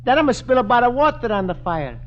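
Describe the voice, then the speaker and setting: A muffled, noisy vintage radio comedy recording. an innocent Italian immigrant shopkeeper with a very high-pitched voice and a thick Italian accent, speaking earnest broken English. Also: male voice, very animated, fast. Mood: sheepish. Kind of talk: 1950s radio sitcom acting.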